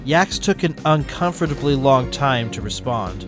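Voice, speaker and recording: A man narrating a fan fiction story in a plain, even way. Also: medium pitch, moderate pace. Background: background music.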